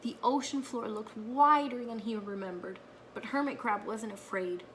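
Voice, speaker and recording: A woman reading a children's story aloud in an expressive, teacherly way, with asides to her listeners. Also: on the high side, moderate pace, slight background noise.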